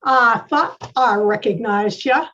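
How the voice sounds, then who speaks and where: Woman acting a high-pitched brusque police detective. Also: slow, a bit bright, muffled recording. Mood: brusque, suspicious. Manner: clipped commands, tough-cop colloquial talk. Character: brusque, suspicious old-time police detective. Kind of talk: old-time radio acting.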